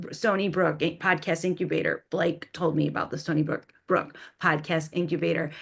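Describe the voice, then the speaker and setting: Effusive woman with a slightly low voice. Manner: effusive, earnest. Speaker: podcast creator. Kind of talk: casual panel conversation.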